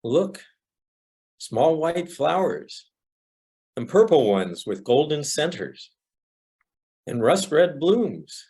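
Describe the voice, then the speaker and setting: An older man reciting a poem with deliberate, reflective phrasing. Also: fairly high pitch, big pitch swings, a little mellow. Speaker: poet reading own poem. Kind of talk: poetry recitation.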